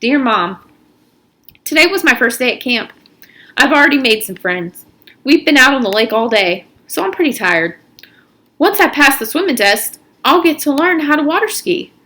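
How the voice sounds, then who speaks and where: A woman's voice: high-pitched, expressive, moderate pace, bright, forceful tone, pitched above the performer's own voice. A woman voicing a weary, guarded teenage boy, plain and hesitant, in a storybook reading. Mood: reassuring.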